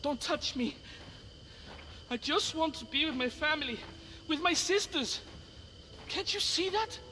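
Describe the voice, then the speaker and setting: A sullen, defiant young boy in an audio adventure game. The voice is high and swings widely in pitch, with noisy ambience behind it. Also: lively intonation, a little slow, sharp tone, exaggerated voice. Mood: upset.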